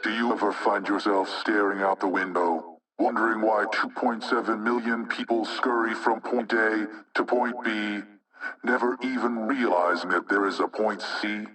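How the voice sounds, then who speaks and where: An actor playing an anonymous male hacktivist spokesman in a video game propaganda broadcast, speaking in a measured, rhetorical, insinuating way with menace. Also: lowish voice, fairly even intonation, sharp tone, distant mic, rough recording. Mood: ominous, preaching.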